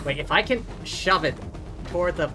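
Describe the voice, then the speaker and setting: A man's voice: high-pitched, moderate pace, over loud music. A man livestreaming a video game, reading dialogue aloud and adding wry, casual commentary. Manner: wry, sweary asides.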